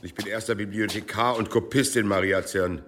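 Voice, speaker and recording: An actor playing a proud, musing monk who is librarian and copyist, in a German medieval audio drama. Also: male voice, on the low side, fairly even intonation, brisk, a bit bright, faint music behind, lightly acted. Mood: musing, matter-of-fact.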